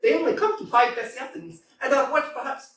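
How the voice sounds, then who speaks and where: A woman's voice: sits high, animated, fast talker. A woman playing a stubborn young landowner lady, echoey. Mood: furious. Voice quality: emphatic, argumentative, sing-song outbursts. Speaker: community theater actor. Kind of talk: staged reading of a stage comedy. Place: small community theater. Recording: echoey room, from far off, faint noise.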